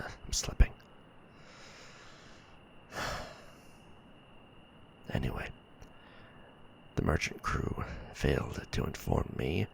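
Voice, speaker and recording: An actor playing a weary, bitter stranded mech warrior, muttering a sci-fi audio log in a breathy, gravelly murmur with sighs and hesitant pauses. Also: male voice, rumbling low, a bit bright, a little hiss or hum, full character voice. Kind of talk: sci-fi ASMR roleplay audio log monologue.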